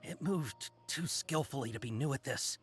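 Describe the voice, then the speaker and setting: A man's voice: mid-range voice, moderate pace, faint music behind, close to an everyday voice. A man playing an anxious, deferential caravan merchant in a video game, hesitant, apologetic and eager to please. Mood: nervous, shaken. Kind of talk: video game character acting.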